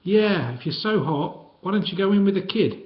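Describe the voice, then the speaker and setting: A man's voice: high voice, lively intonation, normal speed, highs cut off, pitched above the performer's own voice. A man voicing a scrawny, sniggering old male petty crook in a storybook reading. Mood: chummy.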